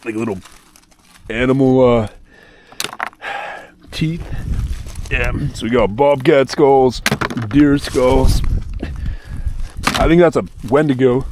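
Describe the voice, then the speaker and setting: A young man vlogging outdoors at a dump site, disgusted and exasperated, cursing casually while his voice goes hoarse. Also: medium pitch, noisy background. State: voice going hoarse. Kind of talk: casual vlog commentary. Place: outdoors at a remote dumping spot.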